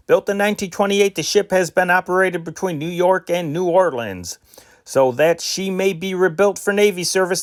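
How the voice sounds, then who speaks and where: A man with a voice pitched a bit higher than most reads out old newspaper dispatches one after another. His delivery is steady and unpolished, with occasional slips where he restarts a word. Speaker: news reader.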